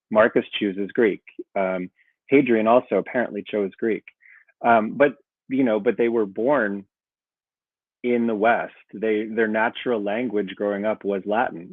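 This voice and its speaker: A man's voice: lowish voice, no room sound. Man on a tinny video call. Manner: thoughtful, with many fillers. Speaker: guest lecturer. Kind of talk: academic Q&A answer. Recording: tinny phone-like call audio.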